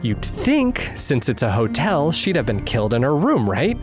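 Wry male amateur investigator, conversational and animated, audio drama with music underneath. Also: mid-range voice, normal speed. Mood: wry, intrigued. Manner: conversational, musing.

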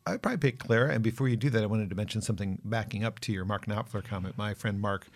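A man's voice: lowish voice, quick pace. A man hosting an interview, asking questions in a friendly, chatty way. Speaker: interview host. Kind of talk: casual interview chat.

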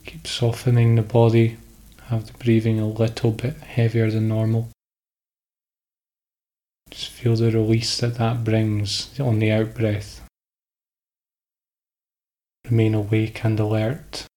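A man guiding a sleep meditation in calm, instructional short phrases with pauses between them. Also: on the low side, low-quality recording. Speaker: meditation guide.

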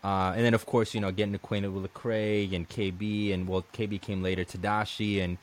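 A man's voice: lowish voice, flat delivery, fairly quick. A man from Colombia hosting a podcast, chatting casually and restarting his sentences often. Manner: conversational, many restarts and "man". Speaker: podcast host. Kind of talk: casual interview chat.

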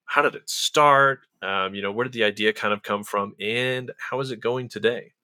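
A man's voice: on the low side, normal speed, pushed and bright, no room sound. A man hosting a casual interview, asking friendly, chatty questions. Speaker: podcast host.

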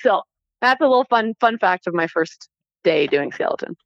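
A Canadian-accented woman telling stories casually as an interview guest, animated and informal, acting out bits of dialogue as she goes. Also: medium pitch, moderate pace, vocal fry, no room sound, highs cut off. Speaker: interview guest, former Olympic athlete. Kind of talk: casual conversational storytelling.

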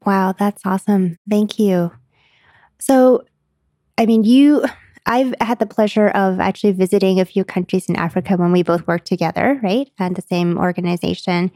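A woman's voice: mid-range voice, normal speed, warm tone, no room sound, clean studio sound. A woman hosting a podcast interview, speaking in an earnest, welcoming way. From China. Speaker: podcast host.

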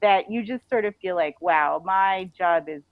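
A woman author talking casually as a podcast guest, halting and chatty, often saying like. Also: fairly low pitch, no echo. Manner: halting and chatty, frequently says like. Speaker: guest author and audio drama writer. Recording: dull lo-fi audio.

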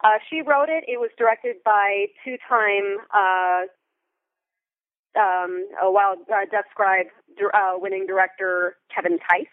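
A woman's voice: medium pitch, measured pace, no room sound. A woman speaking as an interview guest, a Foley artist telling stories in a casual, enthusiastic and descriptive way. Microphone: phone or remote call. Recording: tinny, narrow phone-like line.

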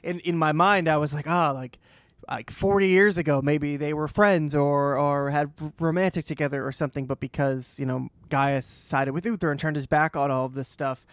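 Higher-voiced man chatting through a recap. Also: steady tone, moderate pace, dry recording. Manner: informal, conversational. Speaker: podcast co-host. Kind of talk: casual TV episode recap.

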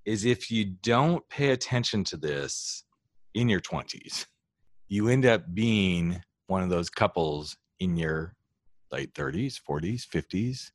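Very low-voiced man, measured and reflective. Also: expressive, no echo. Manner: measured and reflective, builds long thoughts. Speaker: host, therapist. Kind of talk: conversational interview.

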